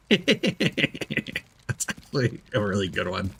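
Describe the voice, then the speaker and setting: A man streaming a video game, talking through it in an expressive, playful way with casual swearing. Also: lowish voice, very slow, creaky, a little hiss or hum. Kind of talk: gameplay stream commentary with dramatic reading aloud of in-game documents.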